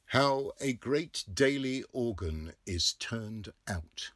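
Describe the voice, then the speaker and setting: A man's voice: mid-range voice, expressive, slow, fairly bright tone, no echo, clean studio sound, voice pushed up. A man voicing a pompous official who proclaims newspaper notices in a declamatory audiobook reading. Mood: pompous, booming.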